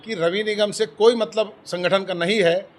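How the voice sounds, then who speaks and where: High-pitched man making a firm official statement. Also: steady tone, normal speed. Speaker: organization leader. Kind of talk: spoken statement to a news interviewer.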